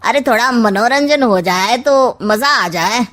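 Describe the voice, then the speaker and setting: Woman doing a smug scheming old uncle, cartoon comedy, slow sarcastic drawl. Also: on the high side, expressive, a bit bright. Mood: sly, coaxing. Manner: sardonic, drawn-out rhyming delivery. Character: scheming, sly, smug maternal uncle, older man. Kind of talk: comic cartoon story acting.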